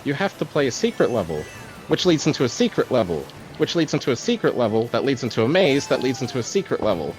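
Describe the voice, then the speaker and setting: A man streaming a kart racing game, chatting casually, rambling about game lore and swearing when excited. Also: mid-range voice, fast, soft background music, low-quality recording. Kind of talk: casual gaming chat.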